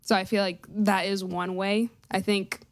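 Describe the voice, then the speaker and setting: A woman's voice: medium pitch, little pitch movement, normal speed, gravelly, no room sound, clean studio sound. A woman panelist reflecting casually and tentatively in a talk show conversation, often leaning on the word like. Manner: tentative, peppered with "like".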